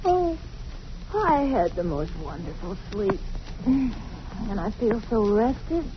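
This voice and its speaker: An actress playing a tender, concerned young wife who fusses gently, in the style of 1940s radio drama. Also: female voice, medium pitch, sing-song, slow. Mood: drowsy, contented. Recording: dull vintage radio recording, faint noise.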